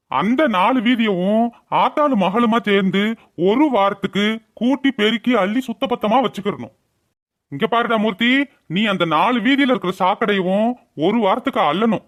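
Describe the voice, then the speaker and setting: A man voicing a stern village council headman in a comedy cartoon, with speech and an admonishing, colloquial manner. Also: sits high.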